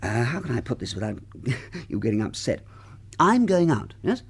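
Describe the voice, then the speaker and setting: An actor playing a fussy, slightly pompous British husband in a radio comedy drama, hesitant and prim, often stammering. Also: male voice, medium pitch, sing-song, normal speed, slightly rough. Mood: awkward, sheepish.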